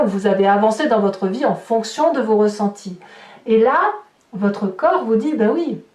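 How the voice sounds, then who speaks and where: A woman's voice: mid-range voice, a little mellow. A woman giving a spoken tarot reading to viewers, explaining things by rephrasing and listing examples. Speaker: tarot reader. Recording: roomy echo, distant microphone.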